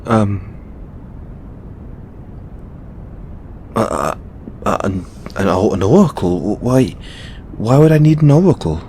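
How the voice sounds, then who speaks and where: A man playing a stressed, tender god confiding in a lover in an intimate audio roleplay, speaking haltingly with stammers and sighs. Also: very animated, mellow. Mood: puzzled, pondering. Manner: hesitant, halting, stammering and sighing. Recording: background noise with low rumble.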